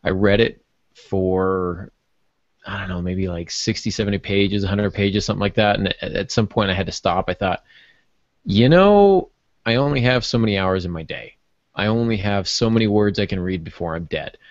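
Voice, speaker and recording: A man with a fairly low voice talks casually on a podcast panel. He rambles a little, circling back and correcting himself. The sound is dry and somewhat dull, with little room in it. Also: normal speed, dry recording. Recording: muffled, dull lo-fi audio.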